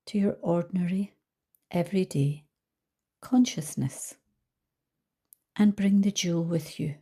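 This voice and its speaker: A woman leading a guided visualisation as a coach, speaking calmly and gently with soft trailing phrases. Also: on the low side, slightly rough. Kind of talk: guided meditation narration.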